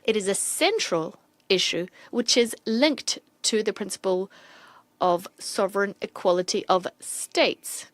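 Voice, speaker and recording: A woman giving simultaneous interpretation of formal diplomatic speeches, delivering them steadily in quick bursts between pauses. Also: mid-range voice, no room sound.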